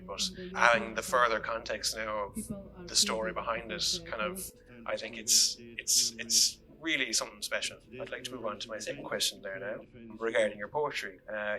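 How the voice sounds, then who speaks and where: A man's voice: on the low side, poor audio. A man hosts a live film Q&A, praising the guest and stumbling over repeated words. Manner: warm, enthusiastic, repeats words while searching. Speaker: event host and interviewer. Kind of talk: live onstage interview.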